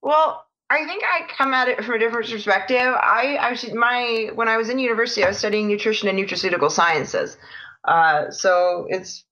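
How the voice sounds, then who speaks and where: A woman's voice: fairly high pitch, a little fast, bright, forceful tone, dull audio. A woman dancer, a guest on a podcast, speaking earnestly and frankly in casual conversation. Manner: earnest, frank and matter-of-fact. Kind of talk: casual interview chat.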